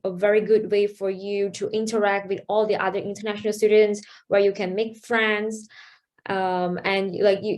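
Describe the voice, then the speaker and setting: A young woman from Malaysia answering a panel question on a video call, earnest and slightly halting. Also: mid-range voice, darkish tone. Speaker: student panelist.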